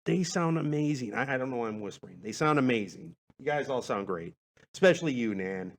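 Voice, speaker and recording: A man's voice: medium pitch, animated, fairly quick. A man in his forties hosting a casual podcast, rambling along in a laid-back, self-deprecating way. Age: 40s. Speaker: podcast host.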